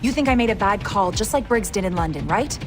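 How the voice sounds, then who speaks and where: Game cutscene role: blunt, assertive female intelligence chief, actress, brisk delivery over background music. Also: medium pitch, animated, close to an everyday voice. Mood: defiant. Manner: clipped, assertive. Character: hard-nosed female intelligence operations chief, blunt and defiant. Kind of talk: dramatic video game cutscene acting.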